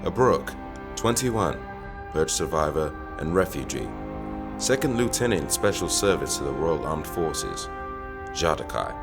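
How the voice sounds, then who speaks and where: A man's voice: a bass voice, big pitch swings, unhurried, music in the background, exaggerated voice. An actor playing a young soldier who states his record formally in an audio drama. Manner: formal roll-call delivery.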